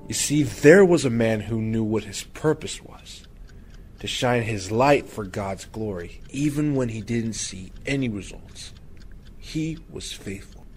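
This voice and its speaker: A man's voice: on the low side, animated, measured pace, a little mellow, soft background music. An actor playing a patient, encouraging father telling stories of faith in an audio drama, with a reassuring manner. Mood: reflective. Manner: reassuring, storytelling.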